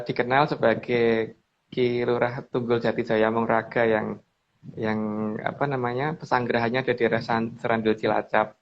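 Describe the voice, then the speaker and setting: A man's voice: mid-range voice, slight background noise. A man casually explaining old legends over slides, rambling with restarts and often turning to a woman reading the slides. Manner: rambling, with restarts and addressing the reader as Mbak. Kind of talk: casual spoken commentary on slides. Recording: dull lo-fi recording.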